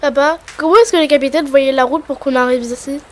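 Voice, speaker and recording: A woman's voice: sits high, fairly even intonation, a little fast, natural voice. A woman voicing a curious, easily worried little girl in a children's cartoon.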